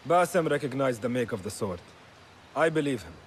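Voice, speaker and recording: Man as a brisk, dutiful assassin scout. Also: medium pitch, very animated, a little hiss or hum, lightly acted. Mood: earnest. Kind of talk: video game cutscene acting.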